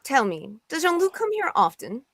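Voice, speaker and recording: A woman's voice: sits high, wildly swooping pitch, normal speed, vocal fry, a bit bright, no room sound, studio quality, exaggerated voice. A woman playing a confident, flirtatious female archaeologist in a live script table read. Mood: sly, confident.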